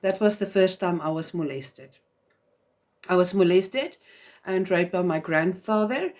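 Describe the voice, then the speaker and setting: A woman's voice: on the low side, darkish tone. A woman whose first language is Afrikaans, speaking English with a South African accent as she hosts a live video for mothers in an earnest, chatty way with frequent fillers. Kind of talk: live-stream talk to viewers.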